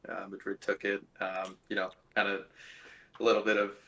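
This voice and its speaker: A man's voice: low voice, monotone, normal speed, fairly bright tone. A man from Canada chatting casually as a podcast guest, laid-back and informal. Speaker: podcast guest, event organiser. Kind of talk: casual chat.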